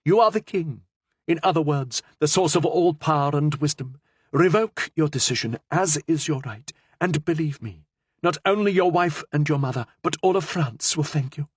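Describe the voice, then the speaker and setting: A man's voice: fairly high pitch, normal speed, husky, no room sound, pitched above the performer's own voice. A man voicing an older queen mother in an audiobook, pleading earnestly and formally with her son. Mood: imploring. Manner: imploring, formal speech.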